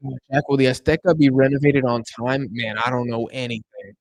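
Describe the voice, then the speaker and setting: A man's voice: mid-range voice, steady tone, brisk. A tired young man hosting a casual live stream, chatting loosely and answering questions from the chat while losing his voice. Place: home room in Argentina.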